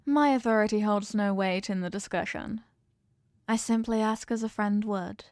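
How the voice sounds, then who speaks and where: A woman acts out an evil queen for an intimate ASMR roleplay, regal and possessive. Her mid-pitched voice is formal and measured, doting on the surface and coolly manipulative underneath. The recording is dry, with very little room sound. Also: steady tone, dry recording, close to an everyday voice. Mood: gracious, doting. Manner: formal, measured, softly commanding. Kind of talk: scripted ASMR roleplay monologue.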